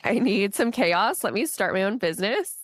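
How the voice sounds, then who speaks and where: A woman guest on a podcast, talking in a chatty, animated way with lots of "like". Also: mid-range voice, moderate pace, no echo, studio quality. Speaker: podcast guest, business owner. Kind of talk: casual podcast conversation.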